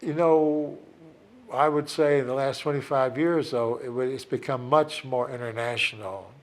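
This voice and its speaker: A church leader reflects in a documentary interview, pausing often and filling gaps with small hesitations. His voice is mid-pitched and even.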